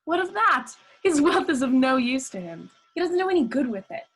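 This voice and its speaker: A woman's voice: high voice, sing-song, fairly quick. A young woman acting a cheerful, forgiving relative of a miser in a radio play. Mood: good-humored, forgiving.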